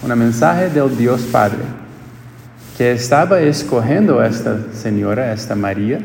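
A man with a Portuguese accent preaching a homily in a church, in slow, searching phrases that often restart. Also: medium pitch, creaky, darkish tone, slight background noise. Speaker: priest. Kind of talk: homily at a quinceañera Mass. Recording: echoey church with long reverb.

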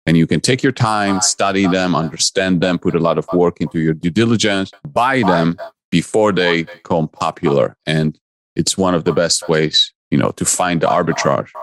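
A man's voice: deep voice, normal speed. A man, a guest investor answering interview questions earnestly in short listed phrases. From Poland.

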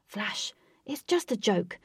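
Woman doing a composed male group leader, measured, slightly formal, dry close sound. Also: medium pitch, very animated, husky, dry recording, full character voice. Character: calm, proper young male leader.

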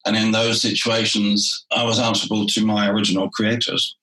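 A man who is a theatre director, talking through his work in a relaxed interview and often restarting his phrases. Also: lowish voice, fairly quick, roomy sound, low-quality recording.

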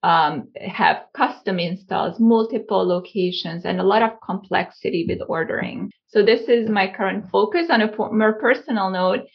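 A woman from Hungary with a creaky voice, answering conversationally as an interview guest. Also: medium pitch, normal speed, few pauses, highs cut off. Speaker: guest, company founder.

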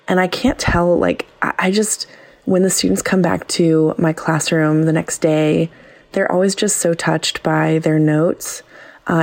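A woman with a low-pitched voice speaks as a teacher leaving a voicemail. She explains things casually, in the first person. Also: a little mellow.

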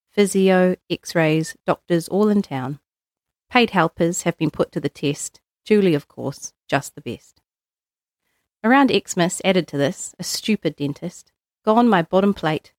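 A woman with a New Zealand accent reading poetry aloud in measured, short phrases. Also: on the low side, no echo, clean studio sound. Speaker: guest poetry reader.

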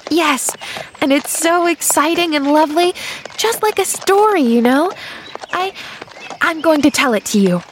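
A woman voicing a bright, innocent young girl who gushes with eager joy in an audiobook. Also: sits high, lively intonation, moderate pace, a little hiss or hum, pitched above the performer's own voice, poor audio. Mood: excited, confiding. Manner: gushing, breathless enthusiasm. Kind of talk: audiobook character acting.

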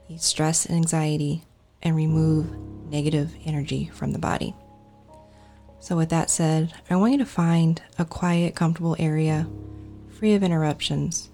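A woman guides a meditation with a notably low-pitched voice. She speaks calmly and deliberately, in soothing instructions. Faint music plays behind her.

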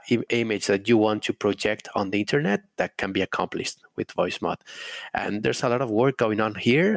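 A man's voice: fairly low pitch, dry recording. A man with a Spanish accent answering interview questions as an audio director.

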